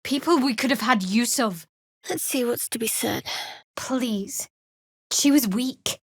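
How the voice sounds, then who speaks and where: A woman voicing a guarded, sardonic young woman cleric in a fantasy video game, with a measured, dry delivery. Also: a little high, husky, dry recording, heavily acted. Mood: earnest.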